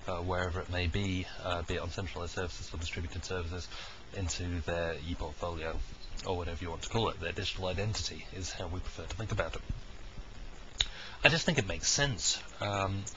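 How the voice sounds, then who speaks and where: Man, stuffy cold, very low voice, rambling unscripted video diary, cheap webcam mic, dull lo-fi sound, steady background hiss. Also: fairly quick. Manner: rambling, thinking aloud with frequent ums and uhs. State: congested with a heavy cold. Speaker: video diarist, software technical lead. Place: dining room at home, indoors. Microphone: cheap webcam microphone.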